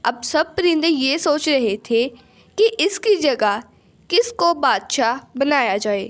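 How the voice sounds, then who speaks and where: A woman's voice: high voice, animated, slow pace. A woman narrating a scripted children's story.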